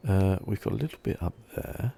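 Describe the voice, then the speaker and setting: Male gaming ASMR host: hushed, relaxed commentary, dark warm tone with heavy vocal fry, close-miked. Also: a little fast, a little hiss or hum. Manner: soft-spoken, low-key.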